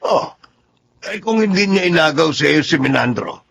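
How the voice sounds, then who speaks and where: An actor playing a weary, teasing older husband with a Filipino accent, nagging for peace in a radio drama. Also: male voice, on the high side, animated, moderate pace, creaky, a bit bright, heavily acted. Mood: teasing, pleading. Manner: nagging, wry, pleading.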